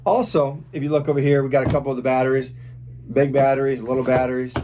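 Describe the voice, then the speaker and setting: A man's voice: medium pitch, little pitch movement, fast talker, background noise. A man doing an informal product review, talking casually as he demonstrates gear step by step.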